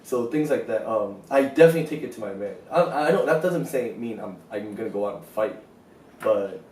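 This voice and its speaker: A man's voice: fairly low pitch, animated, brisk, darkish tone, slight background noise. A man, talking casually in an interview, loose and rambling with many fillers. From South Korea. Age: 20s. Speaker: street artist interviewee. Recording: roomy, distant mic, faint ambience.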